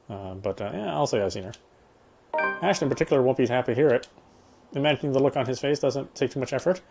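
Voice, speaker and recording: A man's voice: medium pitch, darkish tone. A man reading game text aloud as a player, in the style of a let's play with casual commentary.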